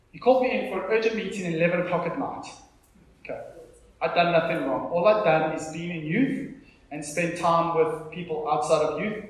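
A South African man in his thirties preaching an informal sermon, conversational and emphatic, repeating phrases for effect as he tells personal stories. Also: a little high. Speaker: pastor preaching to a congregation. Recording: very echoey room, live sermon.

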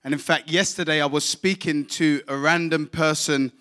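A man's voice: fairly high pitch, steady tone, normal speed, pushed and bright. A man from England preaching a sermon, addressing the congregation directly in a declamatory way and calling on them to respond. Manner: declamatory, calls on congregation to repeat. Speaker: visiting preacher.

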